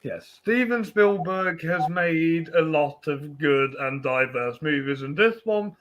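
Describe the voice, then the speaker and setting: A man co-hosting a podcast, chatting casually about films in a halting way that tends to trail off. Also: a little high, a bit bright. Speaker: podcast co-host. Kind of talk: casual film chat.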